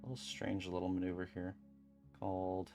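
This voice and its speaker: A streamer chatting casually and calmly while beading at a home desk, rambling gently with many fillers. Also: deep voice, moderate pace, music in the background. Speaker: crafting streamer.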